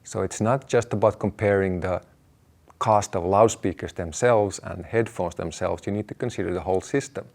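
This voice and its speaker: A man hosting an explanatory video, talking to viewers in a measured, deliberate way, laying out his points in short phrases. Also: deep voice, creaky, a little mellow. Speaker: host of an audio hobby video channel.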